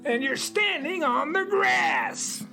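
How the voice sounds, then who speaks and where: A woman voicing a grumpy, scolding old male sun in a children's radio play, creaky and indignant. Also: high-pitched, animated, takes it slowly, over music, exaggerated voice.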